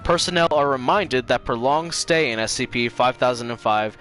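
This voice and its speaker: Bright-voiced man streaming, faint music behind. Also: mid-range voice, normal speed. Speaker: livestream host playing games and reading aloud. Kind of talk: casual stream chat and dramatic reading.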